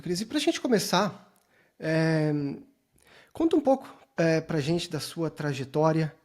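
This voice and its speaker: A man's voice: fairly high pitch, darkish tone. A Brazilian man speaks as the host of an interview podcast. He introduces topics and puts questions to his guest in polite, somewhat formal language. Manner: courteous, formal phrasing.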